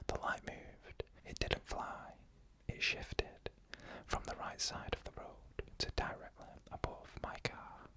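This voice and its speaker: A narrator telling creepy stories in a fully unvoiced ASMR whisper, slow and hushed, in short clipped phrases.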